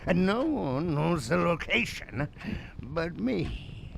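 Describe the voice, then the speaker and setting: An actor playing a superstitious old sailor in an audio drama, with salty, archaic, halting speech. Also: male voice, a little high, sing-song, gravelly, fairly bright tone, a little hiss or hum, full character voice, poor audio. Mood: secretive, ominous. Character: superstitious old sailor, sly pub storyteller. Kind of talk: theatrical audio drama acting.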